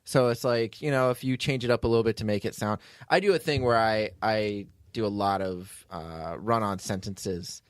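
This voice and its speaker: A man's voice: on the low side, normal speed, hardly any pauses, no room sound. A man hosting a podcast in casual, joking chat, with a dry, teasing manner, close to the microphone. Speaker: podcast host, audio drama writer and producer.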